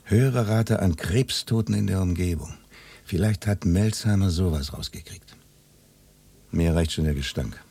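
A man's voice: low voice, a little slow, creaky, mellow, slightly rough, full character voice. An actor playing a cautious, grumbling male radio editor who is uneasy and reluctant, in a naturalistic radio drama. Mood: disgusted, uneasy. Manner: wary, grumbling.

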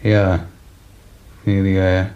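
A man's voice: low-pitched, slow, smooth. An actor playing a submissive, broken male serf who answers dutifully, in a 1950s German radio drama. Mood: subdued. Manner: flat, subdued, servile monotone. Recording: dull, muffled 1950s radio recording.

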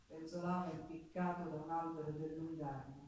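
A woman's voice: low voice, fairly even intonation, normal speed, close to an everyday voice. A woman playing a passionate working-class Sicilian who recalls her hard life in a dramatic, emphatic stage monologue with a Sicilian accent. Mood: grave, grieving. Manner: dramatic, emphatic storytelling with repetitions. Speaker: stage actress and singer in a monologue. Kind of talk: theatrical monologue with folk singing. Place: theatre stage with live guitar. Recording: echoey theatre, distant mic, guitar music.

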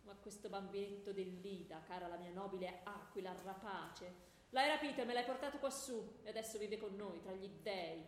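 A woman's voice: mid-range voice, brisk, natural voice. A woman playing a jealous, scornful queen of the gods, scolding her husband sarcastically in a theatrical radio comedy. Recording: echoey hall with faint noise.

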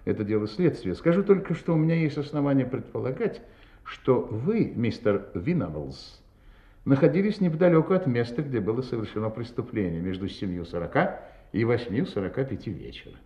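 An actor playing a courteous, methodical police inspector in an audio drama, speaking in a polite, deliberate and pointed way. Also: male voice, mid-range voice, big pitch swings, reverberant, dull audio. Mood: formal, insistent. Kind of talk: audio drama acting, interrogation.

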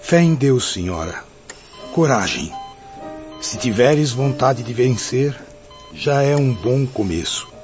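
A man plays an encouraging, earnest medium in a radio drama. He speaks slowly with a lively swing in pitch, over clear music. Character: encouraging male medium comforting the sick. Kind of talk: scripted radio drama acting. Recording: muffled audio over music.

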